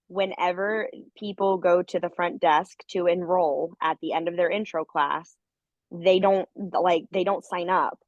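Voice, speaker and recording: A woman with the flu and a raspy, hoarse voice, talking in a chatty, candid way on a group video call. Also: lowish voice, no room sound. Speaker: dance studio owner. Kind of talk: casual call with a business coach. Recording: muffled lo-fi call audio.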